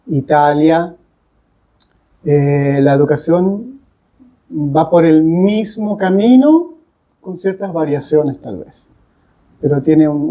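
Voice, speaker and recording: A man talking reflectively as a podcast guest, deliberately, with pauses between short phrases. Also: fairly high pitch, mellow, rough recording. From Chile. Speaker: guest, English teacher.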